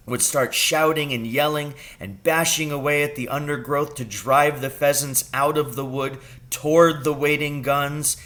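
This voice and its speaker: Man playing a bright-voiced, excitable scheming father. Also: a little high, pitched above the performer's own voice. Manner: theatrical, intense storytelling. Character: scheming, excitable father, conspiratorial poacher with a grudge. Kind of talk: children's audiobook character reading.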